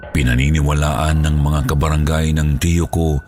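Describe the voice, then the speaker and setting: A man's voice: a bass voice, flat delivery, normal speed, a little mellow. A man with a Filipino accent reading a scripted horror story as narrator. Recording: background music under the voice.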